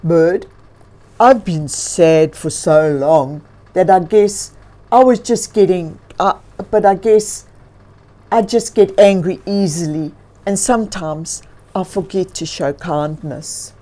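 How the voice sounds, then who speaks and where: A big, lonely grizzly bear from a children's storybook, acted out by a woman. He speaks slowly and emphatically, with a soft-edged, mellow tone. He sounds earnest, remorseful and grateful at heart. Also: on the low side.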